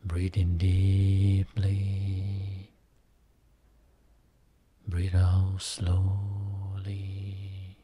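A man guiding a Buddhist meditation as a teacher, speaking soothingly in short phrases with long pauses between them. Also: sits low, mellow, breathy edge. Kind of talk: guided meditation.

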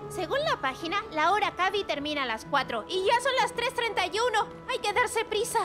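A woman's voice: very high-pitched, fairly quick. A woman voicing a sensible, feisty young girl in a children's cartoon, speaking earnestly and emphatically. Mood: determined. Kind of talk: animated children's cartoon dubbing in Spanish. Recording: loud music behind the voice.

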